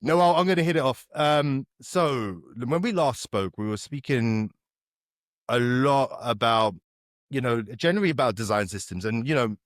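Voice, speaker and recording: Man hosting a podcast, halting and chatty. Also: medium pitch, dry recording, clean studio sound. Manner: friendly, halting, with many "um"s and restarts. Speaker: podcast host. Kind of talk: casual podcast interview.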